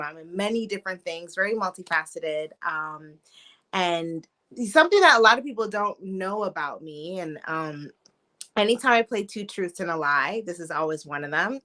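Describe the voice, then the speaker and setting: A woman in her thirties, born in Trinidad and raised in Canada and the US, chatting lively as a podcast guest over a video call. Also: fairly low pitch. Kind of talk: casual interview chat.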